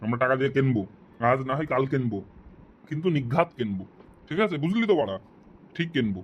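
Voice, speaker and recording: A man's voice: medium pitch, animated, fast talker, lo-fi sound, slight background noise, natural voice. A man voicing an exasperated, sarcastic, foul-mouthed friend in a comic cartoon. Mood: coaxing.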